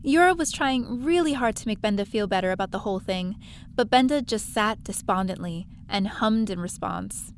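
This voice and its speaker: A woman's voice: medium pitch, animated, normal speed, a little hiss or hum, lightly acted. A woman playing a young space adventurer, telling her own story expressively in an audio drama. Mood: reflective. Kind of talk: first-person audio drama narration.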